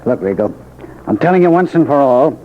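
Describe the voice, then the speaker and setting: An actor playing a gruff, irritable bookmaker boss, curt and slangy, in a 1940s radio crime drama. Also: male voice, medium pitch, very animated, a little fast, natural voice. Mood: irritated. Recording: muffled, hissy old radio recording.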